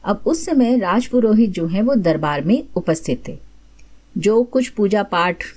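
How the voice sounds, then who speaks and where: A woman's voice: medium pitch, animated, a little slow, a little mellow. A woman host narrating a folk tale in a conversational storyteller's style, explaining and rephrasing as she goes.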